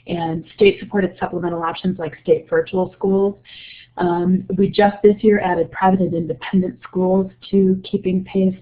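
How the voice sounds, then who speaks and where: A woman with a slight cold giving an explanatory, measured webinar presentation as a presenter. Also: on the low side. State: getting over a cold, slightly low voice. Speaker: presenter, report co-author. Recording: narrow muffled phone-like line.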